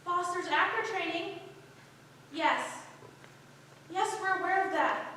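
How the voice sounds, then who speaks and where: An actress plays a polite, businesslike woman on an office call in a stage reading. Her very high voice comes from a distance through long hall reverb and faint noise. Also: takes it slowly, sharp tone, exaggerated voice. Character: polite young office woman answering telephone.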